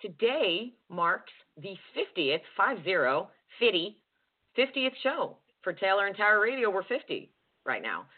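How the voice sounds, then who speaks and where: A woman's voice: medium pitch, sing-song, unhurried. Lady radio cohost, gushy and chatty, laughs a lot, tinny narrow sound. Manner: warm, effusive, laughs often. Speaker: radio show co-host. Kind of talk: casual radio chat. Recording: phone-like narrow band.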